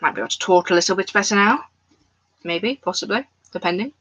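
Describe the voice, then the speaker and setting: Woman streaming from home, bright voice, loose rambling chat with viewers. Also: medium pitch, fast. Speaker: crafts livestreamer. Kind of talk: casual live-stream chat. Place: at home, indoors.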